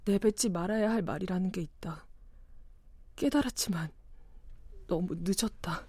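An actress playing a sharp-tongued young adult daughter who nags her mother and narrates her regret. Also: lowish voice, normal speed, slightly rough. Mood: hushed narration. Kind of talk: TV drama acting with inner narration.

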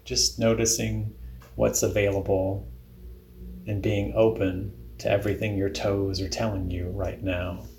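A man leading a guided body scan meditation in a calm, deliberate, instructional way. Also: lowish voice, roomy sound, slight background noise.

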